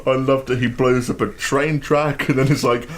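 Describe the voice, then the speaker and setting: A man's voice: mid-range voice, fairly even intonation, normal speed, a little hiss or hum. Giggly, laid-back man. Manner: laid-back, giggly, trails off mid-sentence. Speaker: podcast co-host. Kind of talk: casual podcast chat.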